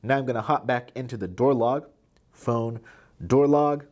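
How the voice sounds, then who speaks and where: A man's voice: medium pitch, fairly even intonation, moderate pace, a little mellow. Man presenting a casual product tutorial. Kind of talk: casual step-by-step product demonstration.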